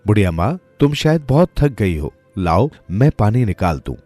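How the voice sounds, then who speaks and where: A man's voice: fairly low pitch, sing-song, normal speed, dark tone, soft background music. A man voicing a kind, eager young peasant son in a storybook tale. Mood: concerned, curious. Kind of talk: storybook character dialogue.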